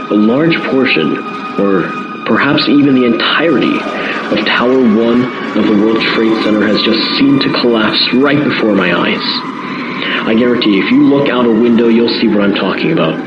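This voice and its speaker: A man's voice: medium pitch, lightly acted. A man playing an earnest, awed eyewitness radio reporter giving a live report in an audio drama. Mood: stunned, urgent. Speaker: field reporter. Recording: noisy, muffled outdoor radio link.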